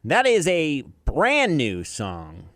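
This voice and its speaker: A man's voice: on the high side, huge pitch swings, slow. An American man presenting as an afternoon radio DJ, talking listeners through songs, breaks and countdowns in a conversational style.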